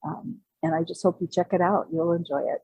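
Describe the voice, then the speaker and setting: A woman's voice: on the low side, fairly even intonation, a little fast, dark tone, breathy edge, no echo, dull audio. A woman in her sixties chatting casually as an author being interviewed on a podcast. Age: 60s.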